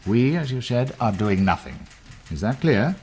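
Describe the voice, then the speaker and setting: A composed British brigadier acted by a man in a radio drama. He speaks formally and carefully at a middle pitch, with faint noise behind. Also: sing-song, moderate pace, a little mellow, close to an everyday voice. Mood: measured. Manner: clipped, formal, circumspect. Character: discreet, composed British wartime intelligence brigadier.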